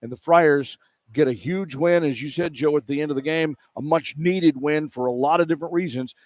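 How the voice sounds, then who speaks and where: A man hosts a radio sports broadcast, recapping a game in commentary style. His voice sits a little high for a man, and the sound is dry with no room echo. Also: a little fast, darkish tone. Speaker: play-by-play radio host.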